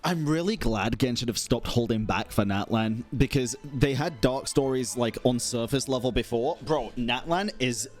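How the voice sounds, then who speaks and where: A man's voice: mid-range voice, normal speed, hardly any pauses, faint music behind. A man giving emphatic, sweary live reaction commentary as a game streamer.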